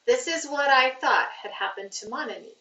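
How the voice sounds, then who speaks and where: A woman reading a children's novel aloud as a teacher would, with expressive, deliberate storytelling phrasing. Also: high-pitched. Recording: roomy, muffled, distant microphone.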